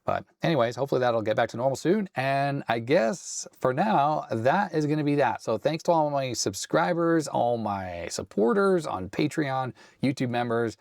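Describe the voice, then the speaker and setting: A man hosting a hands-on tech video with a slightly hoarse, raspy voice, thinking aloud in a tentative, self-deprecating way. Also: medium pitch, expressive, moderate pace, hardly any pauses, no room sound, clean studio sound. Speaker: host. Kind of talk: casual hands-on tech walkthrough.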